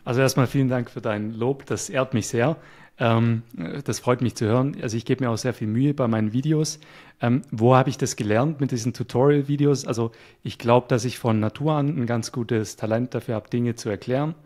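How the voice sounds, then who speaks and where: Calm man explaining his work. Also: mid-range voice, a little fast. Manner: calm, explanatory, some fillers. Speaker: guest, web developer. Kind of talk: casual interview chat.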